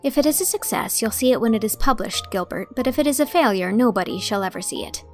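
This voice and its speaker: A woman's voice: fairly high pitch, expressive, quick pace. A proud, imaginative young woman of nineteen, voiced by a female narrator, speaks with earnest, dramatic conviction. The tone is lifted slightly and comes across with some force. Faint music plays beneath. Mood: hopeful. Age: young adult. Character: proud, dreamy young woman writer, passionate.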